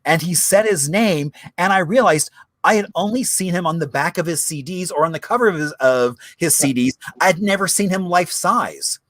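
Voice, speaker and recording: A man's voice: sits high, moderate pace, a bit bright, dry recording. A man hosting a virtual history happy hour, telling stories in a chatty, enthusiastic way. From Arizona. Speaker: history show host. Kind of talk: casual livestream chat. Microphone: video call.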